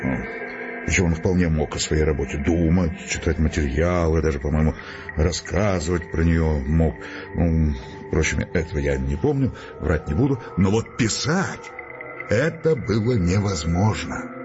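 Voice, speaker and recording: An actor playing an earnest, polite, hesitant man in a Russian audio drama, speaking in a halting, digressive, apologetic way. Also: very deep voice, highs cut off, music in the background.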